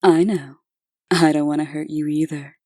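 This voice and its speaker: A woman's voice: deep voice, fairly even intonation, normal speed, darkish tone, a little hiss or hum, close to an everyday voice. A woman playing a cool, seductive, menacing angel huntress, poised and teasing, in a comic dub. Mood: quietly menacing.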